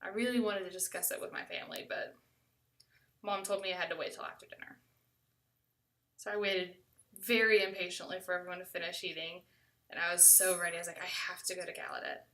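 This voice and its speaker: Bright-voiced American woman telling a story. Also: lowish voice, steady tone, a little fast, long pauses, distant mic. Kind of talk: first-person storytelling, conversational.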